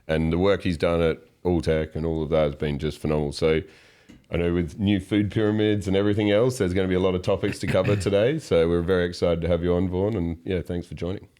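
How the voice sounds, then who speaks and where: Male co-host, extremely low and monotone voice, dark tone, talks casually. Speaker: co-host, company founder. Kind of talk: casual podcast chat.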